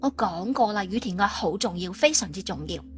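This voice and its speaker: A woman's voice: mid-range voice, animated, fairly quick, soft background music, lightly acted. A woman playing a stern, determined female agent in audiobook dialogue. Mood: firm.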